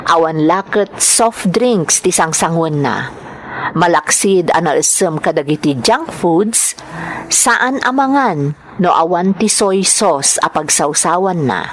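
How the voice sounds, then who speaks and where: Actress as a nagging, caring Ilocano wife. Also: female voice, on the low side, very animated, measured pace, a little hiss or hum. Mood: complaining. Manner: nagging, exasperated but caring. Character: worried, scolding wife of a construction laborer. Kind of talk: Ilocano radio-style drama acting.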